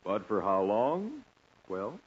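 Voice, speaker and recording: Animated vintage radio announcer, male. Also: fairly low pitch, breathy edge. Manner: theatrical, deliberate emphasis. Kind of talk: 1940s radio announcing, scripted narration. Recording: muffled, hissy vintage radio recording.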